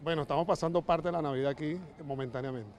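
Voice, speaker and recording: Venezuelan man, slightly high voice, interviewed outdoors. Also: quick pace, darkish tone. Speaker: street interviewee. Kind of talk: casual answers in a street interview. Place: outdoors on a main street. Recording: street interview with faint outdoor noise.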